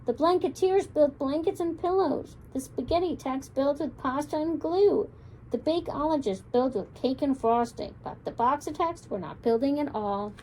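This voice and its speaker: A woman children's librarian reading a picture book aloud with expressive, lilting storytelling. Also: high voice, normal speed, slight background noise, rough recording.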